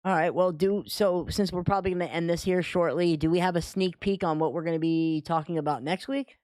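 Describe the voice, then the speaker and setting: Man, smooth clear voice, slightly high, podcast co-host, casual chat, dry recording. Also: fairly even intonation, a little fast, a little mellow, no echo.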